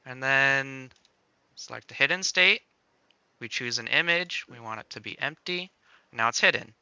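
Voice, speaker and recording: Bright, clear-voiced man giving a casual tutorial. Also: medium pitch, normal speed, no room sound. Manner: thinks aloud step by step, playful. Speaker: livestream host demonstrating game-making software. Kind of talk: casual software tutorial on a livestream.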